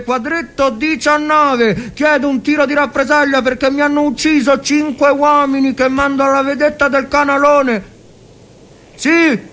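An actor playing a bitter, anguished Italian officer of the First World War in a dramatic monologue, halting and repeating words. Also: male voice, very high voice, bright, forceful tone, heavily acted. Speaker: actor reading a short story. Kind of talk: dramatic literary monologue, radio reading.

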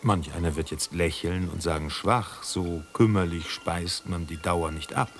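A man's voice: deep voice, a little slow, faint music behind. A man playing a grave, terse second narrator in a scripted literary radio drama. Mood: grave, detached.

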